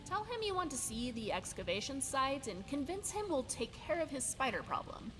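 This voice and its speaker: A woman's voice: high-pitched, very animated, moderate pace, a bit bright. A woman playing a scatterbrained, bookish young female scholar in a video game, haughty and easily distracted, with hesitant asides. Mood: excited, bargaining. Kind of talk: video game companion dialogue. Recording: game music behind the voice.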